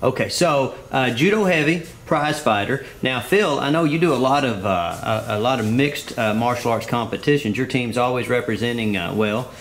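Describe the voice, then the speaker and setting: A man's voice: mid-range voice, normal speed, few pauses, fairly bright tone, slight background noise. A middle-aged man hosting a casual interview in a gym, talking loosely with lots of fillers and restarts. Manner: easygoing, many fillers and restarts. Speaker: interviewer, host. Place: indoor martial arts gym office.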